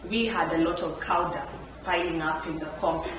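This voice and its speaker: A woman speaks on a panel, animated and quick to laugh as she tells her stories. Her voice sits a little low. She sounds far from the mic in a very echoey room, with clear background noise. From Kenya. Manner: animated, laughs often while telling stories. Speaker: entrepreneur on a panel. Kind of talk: storytelling at a panel talk. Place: event room.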